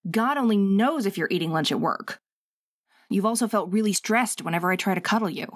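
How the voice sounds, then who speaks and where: A woman's voice: mid-range voice, lively intonation, a little fast, no room sound, clean studio sound, lightly acted. A woman playing a bossy, sassy but loving wife in an intimate ASMR audio roleplay, talking in a conversational, scolding and coaxing way.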